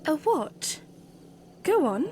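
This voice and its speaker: Audio drama princess female actor: young, high and lilting, unhurried, playful yet earnest, formal in phrasing. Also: faint music behind, full character voice. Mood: teasing. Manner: expressive, formal wording, teasing, hesitant when flustered. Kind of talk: audio drama acting of fan fiction.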